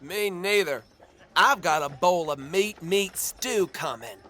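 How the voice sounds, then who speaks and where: An actor playing a cocky, boastful and naive young drifter in an audio drama, theatrical and drawn-out in his delivery. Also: high voice, pushed and bright, full character voice. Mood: indignant, flustered. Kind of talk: dramatic audio fiction acting.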